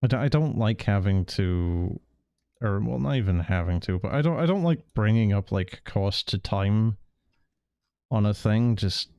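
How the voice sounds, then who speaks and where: Low-voiced, stammering male game streamer. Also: expressive, measured pace, darkish tone, smooth, dry recording, studio quality. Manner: hesitant, stammering, repeats words. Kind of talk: casual stream commentary, sometimes reading text aloud.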